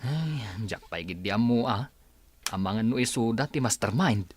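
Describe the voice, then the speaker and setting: Animated, concerned village man. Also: mid-range voice, moderate pace, slightly rough. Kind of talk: Ilocano radio drama acting.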